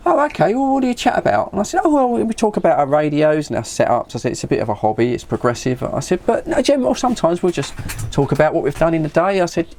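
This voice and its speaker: A man's voice: fairly high pitch, very animated, quick pace, a little mellow. A middle-aged man with a British accent chatting casually and telling anecdotes, acting out remembered conversations and often repeating his words as he goes. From United Kingdom. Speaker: radio hobbyist vlogger.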